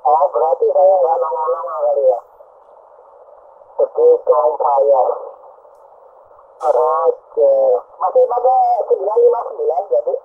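A man's voice: on the high side, wildly swooping pitch, unhurried, gravelly, long pauses. A man chatting casually as a CB operator, heard through a two-way radio. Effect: two-way radio transmission. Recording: narrow, noisy CB radio reception.

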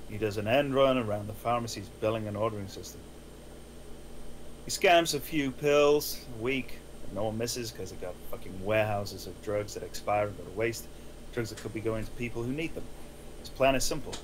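A man's voice: fairly low pitch, moderate pace, slight background noise, close to an everyday voice, rough recording. A man playing an earnest, pleading schemer in a screenplay table read. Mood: persuasive. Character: earnest, pleading young schemer boyfriend, and a casual detective.

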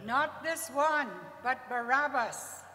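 A woman's voice: sits high, slow pace, bright, forceful tone, heavily acted. A woman playing an accusing crowd that demands an execution in a scripture reading, insistent and declamatory. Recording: distant echoey hall, long reverb.

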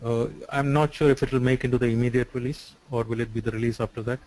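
A man on a video call who explains software features step by step, with frequent "uh" and "so". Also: mid-range voice, flat delivery, fast, rough recording. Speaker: software developer answering questions.

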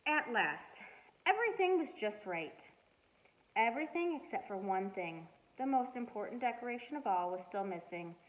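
A middle-aged woman reading a children's storybook aloud with expressive, emphatic storytelling. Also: on the high side, moderate pace. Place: living room, indoors. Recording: noisy living room recording.

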